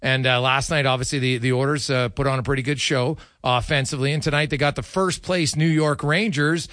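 A man's voice: medium pitch, fairly even intonation, brisk, fairly bright tone, no echo, studio quality. A man hosting a sports talk show, chatting casually as he interviews a guest.

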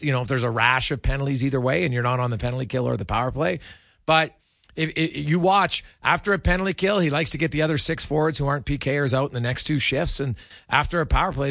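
A man with a fairly high voice, recorded dry and close. He hosts sports radio and chats casually about hockey, musing in a halting, conversational way. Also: gravelly, fairly bright tone, dry recording. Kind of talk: casual hockey analysis chat.

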